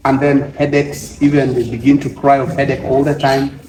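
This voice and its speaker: A man's voice: mid-range voice, little pitch movement, moderate pace. A man with a Ugandan accent hosting a conversational devotional interview, often repeating and rephrasing his points as he talks. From Eastern Uganda. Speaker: devotional show host. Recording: echoey room.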